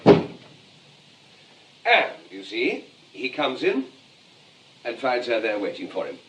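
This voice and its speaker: An actor playing a cynical, conceited novelist in a 1920s comedy of manners, dry, blunt and playfully provocative. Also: male voice, mid-range voice, sing-song, takes it slowly, a little mellow, a little hiss or hum, poor audio. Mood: self-absorbed. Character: cynical, teasing male novelist, urbane and conceited. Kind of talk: 1920s English comedy of manners stage acting.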